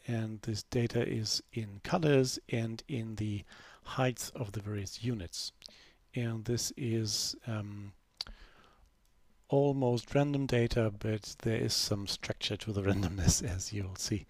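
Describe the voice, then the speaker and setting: A man narrating a screen-recorded software demo in a relaxed, unscripted way, full of fillers and restarts. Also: fairly low pitch, unhurried, dry recording. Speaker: software developer giving a demo.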